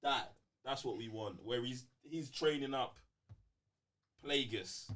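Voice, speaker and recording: A man with a London British accent in casual fan debate on a livestream, slangy and excitable, repeating phrases for emphasis. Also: mid-range voice, slow. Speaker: co-host of a film fan livestream.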